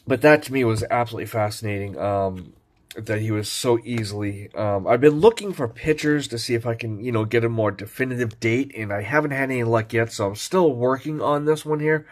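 A man's voice: medium pitch, a little fast. Brief: man, stuffed-up from a cold, laid-back home vlogger talking through his coins, lots of ums. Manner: relaxed, rambling, frequent fillers. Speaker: coin collecting vlogger. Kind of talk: casual show-and-tell narration.

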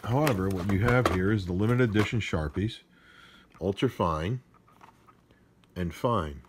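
A man's voice: on the low side, unhurried. A man giving casual off-the-cuff unboxing commentary in a grumbling, sarcastic way, recorded on a handheld phone. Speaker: unboxing vlogger. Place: indoors at a wooden desk. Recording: noisy handheld recording with handling clicks.

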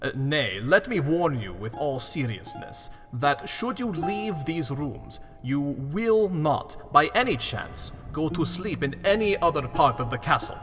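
Creepy polite vampire count for a radio play, swoopy pitch, big echoey hall. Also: male voice, lively intonation. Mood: ominous warning. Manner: halting, grandly formal, deliberate. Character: courteous, menacing vampire count, slow and ominous. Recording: long hall reverb, music underneath. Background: music.

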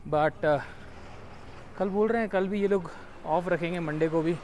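A man's voice: high voice, a little slow, a little mellow, a little hiss or hum. A young man vlogging casually outdoors on a clip-on mic, chatty and mixing English words into his talk. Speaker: travel vlogger. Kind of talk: casual vlog chat while walking. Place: outdoors in a busy town market.

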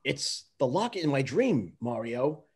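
A man's voice: medium pitch, big pitch swings, measured pace, darkish tone, breathy edge. A man chatting casually and reading a script as an amateur, circling back over his words hesitantly.

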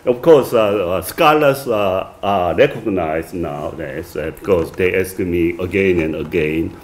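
Slow-speaking Korean-accented man lecturing. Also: deep voice. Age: middle-aged. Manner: many "uh" fillers, halting phrasing. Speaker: visiting lecturer. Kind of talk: academic lecture read from a manuscript. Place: wood-paneled reception room, indoors.

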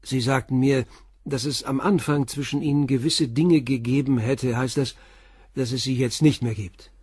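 A man's voice: medium pitch, fairly even intonation, normal speed, darkish tone, husky, no echo, studio quality, close to an everyday voice. An actor playing a stern, probing police inspector in a radio drama, pressing his questions bluntly. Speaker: police commissioner.

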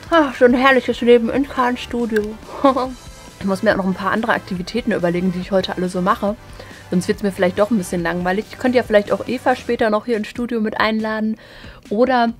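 A young woman chatting casually to camera as a vlog host, thinking aloud in a colloquial way. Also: medium pitch. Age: young adult. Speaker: video channel host. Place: small furnished studio room, indoors. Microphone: camera at close range.

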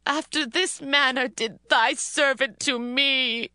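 A scheming, aggrieved wife in a biblical audio drama. Her voice is extremely high and piercingly bright, recorded dry, and she accuses with dramatic deliberation. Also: steady tone, clean tone, no room sound, full character voice. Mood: aggrieved, accusing. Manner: slow, dramatic, accusing delivery. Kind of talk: dramatized scripture acting.

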